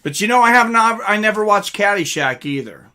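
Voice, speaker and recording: A man's voice: high-pitched, animated, a little fast, sharp tone. A man hosting a live stream, chatting casually and reading out comments from viewers.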